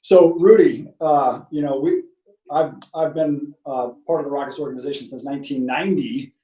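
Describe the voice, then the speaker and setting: A man's voice: a little high, normal speed, dark tone. A middle-aged American man hosting a casual sports interview in a conversational way. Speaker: host, sports broadcaster. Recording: echoey, distant from the mic.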